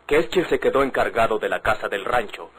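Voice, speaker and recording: A man playing a considerate, educated Mexican gentleman in an old radio drama, polite and measured, with a husky voice. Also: on the low side, lively intonation, a little hiss or hum. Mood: persuading.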